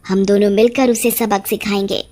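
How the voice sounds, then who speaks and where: A woman voicing a small witch ghost in a cartoon story, sympathetic and quietly vengeful, speaking in a measured, reassuring way. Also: mid-range voice, steady tone, natural voice. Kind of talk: animated cartoon story dialogue.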